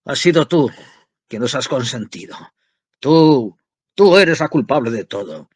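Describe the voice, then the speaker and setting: A man reading aloud and voicing a tyrannical older father who rants and shouts at his family. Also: medium pitch, animated, a little slow, slightly rough, no echo. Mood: furious. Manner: ranting, shouting.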